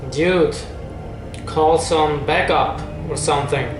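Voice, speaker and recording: A man's voice: on the high side, steady tone, takes it slowly. A man hosting a reaction video, giving casual live commentary in short remarks. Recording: echoey room, loud music behind.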